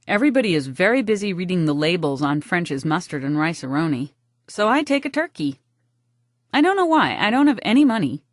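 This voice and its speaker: A woman narrating a first-person novel for an audiobook with a wry, deadpan delivery. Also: fairly low pitch, fairly quick, dry recording, studio quality.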